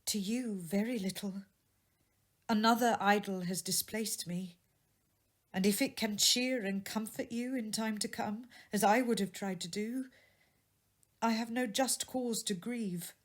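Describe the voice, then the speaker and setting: A woman voicing a sorrowful, dignified young woman in a classic storybook tale, speaking gently and earnestly. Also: mid-range voice, unhurried, voice pushed up, lightly acted. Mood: soft, resigned. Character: sorrowful, dignified young woman releasing her changed fiancé. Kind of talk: dramatised audiobook reading of a classic tale.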